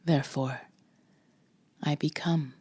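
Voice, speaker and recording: A woman leading a guided meditation with a soft, breathy voice, gently instructing in short phrases with pauses between them. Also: low voice, steady tone, no room sound. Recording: music behind the voice. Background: music.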